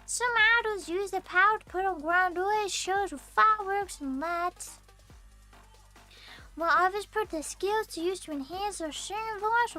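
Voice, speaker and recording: A woman voicing a formal female magic teacher in a mock Scottish accent, lecturing slowly. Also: very high-pitched, sharp tone. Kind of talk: reading a visual novel aloud.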